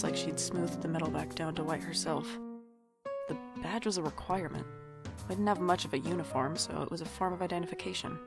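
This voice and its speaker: A woman's voice: low voice, gravelly. A woman playing an anxious, sarcastic young shop worker in an audio drama, with wry, exasperated narration and dialogue. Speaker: podcast drama lead and narrator.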